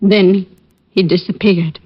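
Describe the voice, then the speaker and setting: A woman's voice: medium pitch, steady tone, takes it slowly, husky. A worried older mother, old radio sound. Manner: hesitant, polite, plaintive. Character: worried older widowed mother, timid, genteel, distraught. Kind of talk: 1940s-50s radio drama acting. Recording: dull lo-fi old radio recording.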